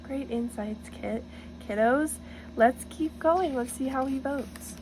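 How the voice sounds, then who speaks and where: A woman reading a picture book aloud to children in an encouraging, teacherly way, pausing to address them directly with questions. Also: high-pitched, background noise. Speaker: storybook reader for children, student teacher.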